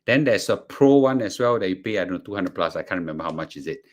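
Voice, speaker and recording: A man's voice: deep voice, animated. A man with a Singaporean accent talking casually to a class as presenter, restarting and repeating words. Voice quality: halting, repeats words, fillers. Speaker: course presenter. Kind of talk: casual live class talk.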